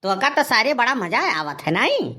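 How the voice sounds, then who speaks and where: A man's voice: very high-pitched, very animated, moderate pace, bright, forceful tone, full character voice. A man playing an aggrieved, boastful village husband in a cartoon comedy, grumbling and scolding with a rustic eastern Uttar Pradesh accent. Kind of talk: Awadhi cartoon comedy dialogue.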